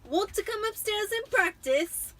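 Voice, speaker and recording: Woman doing a loud, high-pitched taunting bully boy. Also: animated, a little slow, a little hiss or hum, exaggerated voice. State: tipsy.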